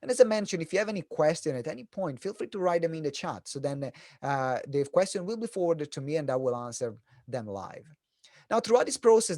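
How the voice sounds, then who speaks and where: Italian-accented man presenting, higher-pitched voice. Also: a little mellow, dry recording, studio quality. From Italy. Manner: informal, joking, storytelling. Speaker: speaker, business coach. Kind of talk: animated presentation to an online audience. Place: online event.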